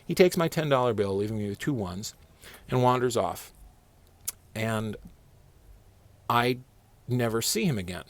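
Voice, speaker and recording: A man's voice: medium pitch, a little slow, long pauses, no echo. A man telling a personal story in a casual, slangy, conversational way, with some swearing and acted dialogue.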